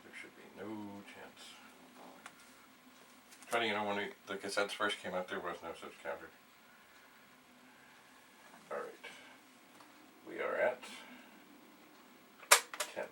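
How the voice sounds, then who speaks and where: A man's voice: sits low, slow pace, long pauses, bright, forceful tone. A man giving a casual hands-on tech demonstration, thinking aloud and muttering to himself in a wry way. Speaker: host of retro computer video series. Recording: distant mic, clearly noisy, clicks.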